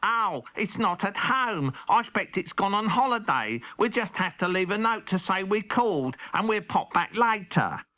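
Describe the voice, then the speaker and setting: A man's voice: high voice, animated, normal speed, hardly any pauses, sharp tone, dry recording, voice pushed up. A man voicing a timid, lazy cat in a children's storybook, hesitant and full of excuses. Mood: hopeful, evasive. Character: timid, lazy male cartoon cat, reluctant hero.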